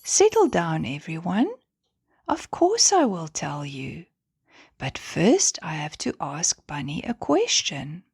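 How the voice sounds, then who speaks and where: A woman's voice: sits low, huge pitch swings, slow pace, a little mellow, slightly rough, no echo, clean studio sound, exaggerated voice. Woman voicing a kind, reassuring little star. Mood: calm. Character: kind, encouraging, playful female star friend. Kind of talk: children's bedtime story.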